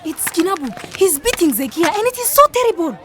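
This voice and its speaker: A woman's voice: extremely high pitch, big pitch swings, brisk, fairly bright tone, a little hiss or hum, exaggerated voice. A woman playing an anxious, compassionate young village woman in a radio drama, earnest and emotive. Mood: panicked. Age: young adult. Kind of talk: first-person radio drama narration and acting.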